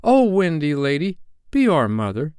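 A man voicing eager, adoring young lost boys in a storybook, with a pleading, excitable manner. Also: sits high, a little slow, clean tone, no room sound, studio quality, pitched above the performer's own voice.

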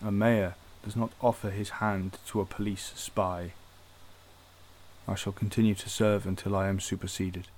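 A man's voice: low-pitched, level tone, normal speed, a little mellow, slight background noise, lightly acted, low-quality recording. An actor playing a stern, rigid male police inspector with formal, severe speech. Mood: cold. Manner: formal, severe, self-condemning declamation. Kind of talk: radio drama acting.

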